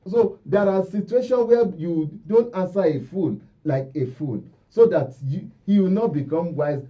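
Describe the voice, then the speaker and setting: Male radio guest, Nigerian accent, very dark relaxed tone, emphatic repetition, slightly muffled lo-fi sound. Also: high voice, far from the mic. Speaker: radio guest commentator on diplomacy. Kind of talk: radio interview discussion. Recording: dull, lo-fi radio audio.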